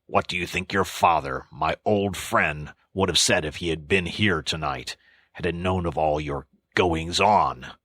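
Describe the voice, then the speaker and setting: A man voicing a stern, wise old male badger in a storybook reading, severe and measured. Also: deep voice, bright, forceful tone, husky, no room sound, heavily acted. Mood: severe scolding. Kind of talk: audiobook character voice.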